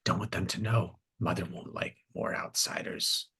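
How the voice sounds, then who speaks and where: A man voicing a furtive, suspicious backwoods cultist in a tabletop game, hushed and whispery, urgently shushing in clipped phrases. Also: sits low, fairly even intonation, normal speed, breathy edge, voice pushed up, exaggerated voice. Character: furtive, suspicious cultish cousin in the trees, fearful of outsiders.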